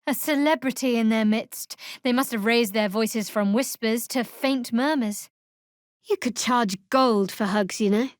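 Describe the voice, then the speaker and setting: An actress playing a guarded, sardonic young female cleric of a dark goddess in a video game, with dry, measured delivery. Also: a little high, no room sound, natural voice. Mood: dry, wry.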